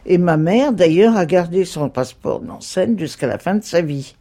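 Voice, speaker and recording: A woman's voice: lowish voice, lively intonation, normal speed, warm tone. A woman in her eighties answering questions about her past in a plain, matter-of-fact way. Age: 80s. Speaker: interviewee.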